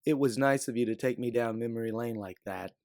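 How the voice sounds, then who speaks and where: A man's voice: medium pitch, fairly quick, darkish tone, dry recording, clean studio sound, close to an everyday voice. A man voicing a weathered, middle-aged male outlaw in a sci-fi audiobook, wry, taunting and accusing. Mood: bitter, taunting.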